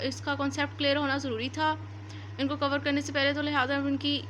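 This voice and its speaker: A woman with a Pakistani accent delivers an instructional lecture in a high, bright voice. She explains step by step and rephrases points for clarity, switching into English terms. Faint noise sits behind her. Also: poor audio. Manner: didactic, repeats and rephrases points. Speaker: law lecturer.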